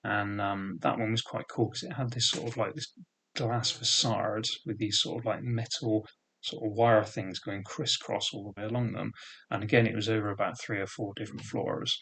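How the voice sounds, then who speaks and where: A man's voice: on the low side, level tone, normal speed, gravelly, a bit bright, husky, poor audio. A man in his thirties with a thick regional British accent, casually reminiscing in a rambling way. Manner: rambling, full of "sort of" and "um" fillers. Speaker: vlogger.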